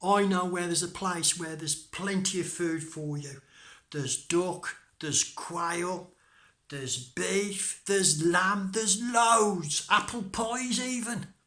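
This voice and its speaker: A man voicing a tiny, cheeky, plucky boy in a folk tale, excitable and pleading. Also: high-pitched, slow pace, pitched above the performer's own voice, heavily acted. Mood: bargaining. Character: tiny plucky boy, cheeky and quick-talking to save himself.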